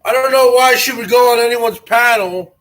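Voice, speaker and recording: A man's voice: very high-pitched, normal speed, fairly bright tone. A man in his forties with a stutter, hosting a live stream and airing a grievance to his viewers in a repetitive, aggrieved way. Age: 40s. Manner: aggrieved, repeats himself.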